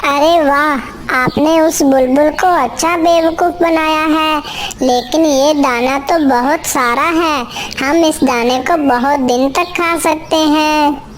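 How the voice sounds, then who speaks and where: A sweet little female bird from a children's cartoon, played by a woman, with a very high voice. She speaks slowly and earnestly, over faint background noise. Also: fairly even intonation. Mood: delighted. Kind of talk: children's cartoon character dialogue.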